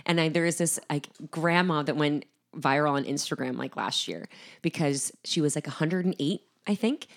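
A woman's voice: low voice, moderate pace. A woman co-hosting a casual podcast, enthusiastic and emphatic. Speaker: podcast co-host.

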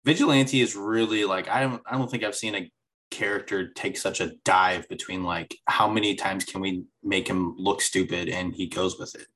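A man with a fairly low voice talks casually on a podcast, restarting his sentences as he goes. His delivery is loose and conversational, like a co-host trading opinions. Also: steady tone, normal speed, fairly bright tone, rough recording. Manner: chatty, restarts often. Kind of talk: casual chat.

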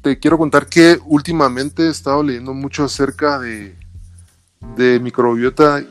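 A man's voice: medium pitch, steady tone, moderate pace. Informal Guatemalan man chatting over faint music. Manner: informal, colloquial storytelling with tag words. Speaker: interview guest, nutritionist. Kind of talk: casual chat, personal anecdotes.

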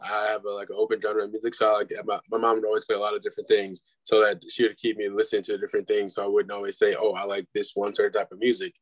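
A man's voice: fairly low pitch, monotone, very fast, hardly any pauses, no room sound, poor audio. Laid-back young man, dry close sound. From Milwaukee, Wisconsin, United States. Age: 20s. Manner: laid-back, says "bro", laughs between phrases. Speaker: guest, dubstep producer and DJ. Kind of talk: casual interview chat.

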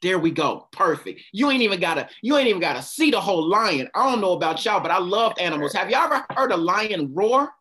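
A man's voice: sits high, sing-song, fast talker, hardly any pauses, pushed and bright. A man giving a passionate motivational talk, stopping often to ask his listeners questions. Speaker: speaker leading a group talk.

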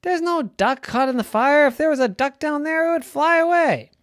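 A practical, loving duck parent, as a woman storyteller puts it on, raised high in pitch with big swoops. The delivery is incredulous and exclaiming, made for a storybook. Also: sing-song, moderate pace, creaky, no echo, studio quality.

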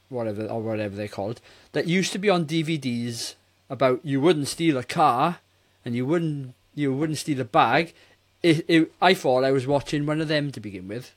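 A man in his forties giving blunt, casual opinions on a film as a podcast co-host. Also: medium pitch. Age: 40s. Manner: blunt, mildly sweary.

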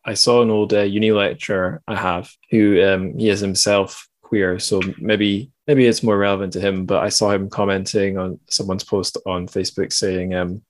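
A man's voice: low-pitched, flat delivery, moderate pace, few pauses, no echo. A man hosting a podcast, chatting casually and reflectively as he talks through his own reactions. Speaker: podcast host. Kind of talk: casual, reflective book discussion.

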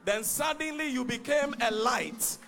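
Gravelly high-pitched pastor. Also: male voice, measured pace, pushed and bright. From Ghana. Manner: earnest, recounting a story. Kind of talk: church testimony.

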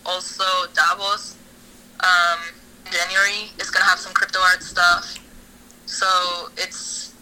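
A woman's voice: lowish voice, pushed and bright, rough recording. A young woman from Argentina, an artist talking casually in an interview, rambling with many fillers and restarts.

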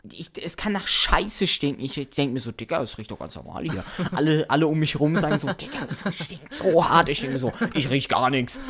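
A man's voice: medium pitch, a bit bright, breathy edge. A young man co-hosting a podcast, chatting loosely in heavy youth slang over a home mic on a call. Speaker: podcast co-host. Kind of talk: casual chat with youth slang. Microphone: home microphone over internet call.

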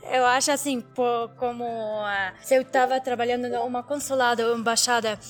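A woman's voice: on the high side, fairly even intonation, faint music behind. A young woman from Syria with an Arabic accent, a non-native speaker answering casually in an interview and often searching for words. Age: young adult. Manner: halting, searching for words in second-language speech. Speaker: interviewee, international relations student.

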